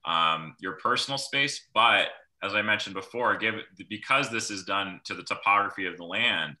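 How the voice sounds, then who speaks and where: A young man giving an informal explanation as a planner on a video call, speaking in a halting way. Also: lowish voice, bright, forceful tone. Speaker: rural planner presenting at a public meeting. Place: small room at home, on a video call. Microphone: computer microphone on video call.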